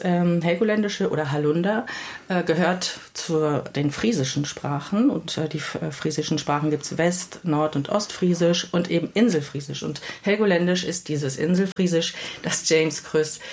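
A woman author answering interview questions at a measured pace, often pausing to search for words. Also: low voice. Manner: measured, with frequent hesitations. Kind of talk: thoughtful radio interview answers.

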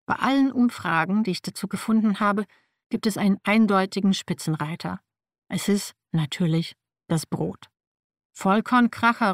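A woman's voice: medium pitch, dry recording, clean studio sound. A woman whose first language is Slavic reads a personal nonfiction text aloud in a wry, matter-of-fact way. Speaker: narrator.

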